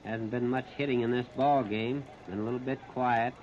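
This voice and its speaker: An American man calling a baseball game live as a 1930s radio play-by-play announcer, narrating each moment in short, clipped phrases with pauses. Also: medium pitch, fairly even intonation, darkish tone. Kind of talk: 1939 live radio sports commentary. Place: outdoor ballpark, broadcast position behind home plate. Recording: muffled, noisy 1930s radio disc recording.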